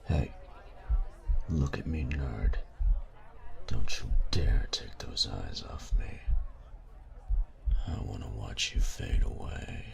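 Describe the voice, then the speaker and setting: A man playing a cocky, taunting, domineering young bully in an intimate audio roleplay, speaking in a breathy, gravelly half-whisper with slow, teasing menace. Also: rumbling low, lively intonation, a little hiss or hum, heavily acted. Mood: commanding. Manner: slow, teasing, menacing delivery with drawn-out pauses.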